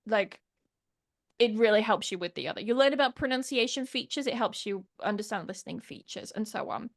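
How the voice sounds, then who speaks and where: A woman in her thirties from England, a pronunciation teacher chatting as an interview guest with animated storytelling and lots of fillers. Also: a little high, brisk. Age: 30s. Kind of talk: casual interview chat.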